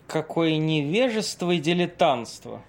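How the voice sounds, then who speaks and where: A man acts out a ghostly legendary seducer trapped in a tramp's body for an audio play. His voice sits fairly high for a man and moves slowly. The speech is florid and lamenting, full of haughty grandeur. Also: big pitch swings. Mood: disdainful. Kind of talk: amateur audio play acting.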